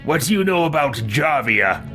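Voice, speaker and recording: A man's voice: on the high side, very animated, normal speed, music in the background. A man roleplaying a haughty, cruel fantasy adventurer in a video game, with a pompous, menacing delivery. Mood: imperious.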